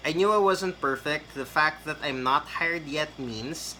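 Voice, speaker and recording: Guy in his 30s rambling through a tutorial, bright voice, faint room hum behind. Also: fairly high pitch, unhurried, low-quality recording. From Philippines. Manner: chatty, rambling with fillers and restarts. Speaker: call center trainer. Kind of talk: casual tutorial talk to camera.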